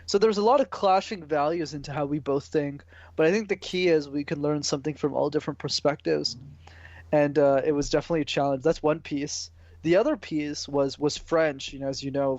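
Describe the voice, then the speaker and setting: A man from Canada talking through his answers in a relaxed, casual way, with a bit of slang. His voice sits a touch high, and the audio is dry and muffled, as if from a remote call. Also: fairly quick, dry recording. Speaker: guest, public speaking coach. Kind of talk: conversational interview answers.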